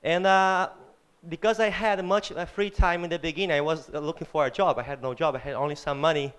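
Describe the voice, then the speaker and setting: Man, Brazilian accent, high voice, resonant, halting. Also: steady tone, a bit bright, smooth. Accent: Brazilian Portuguese. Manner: halting, repeats words, asks "yes?". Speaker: audience member. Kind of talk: spontaneous audience comment. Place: lecture hall.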